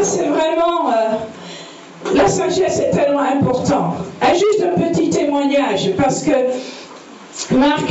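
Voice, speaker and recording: A woman pastor from the Netherlands with a Dutch accent, preaching a lively sermon with personal testimony and frequent amens, recorded from a distance in a church hall. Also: high voice, dull audio. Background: congregation answering amen.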